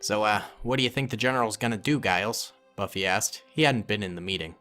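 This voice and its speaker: A man's voice: lowish voice, brisk, bright, forceful tone, soft background music. A man narrating a fan-made war story and voicing several soldiers in dialogue. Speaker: narrator and voice of most characters. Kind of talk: fan-made cutscene narration with character voices.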